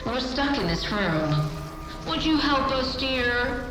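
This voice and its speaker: Casting: imperious game scientist, mockingly sweet, high-ish and unhurried, condescending. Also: female voice, very animated, exaggerated voice. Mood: mocking, brisk. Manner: condescending, teasing. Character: sardonic, imperious female scientist, mockingly sweet and self-amused. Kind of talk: dramatic game cutscene acting. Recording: echoey space with music behind. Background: music.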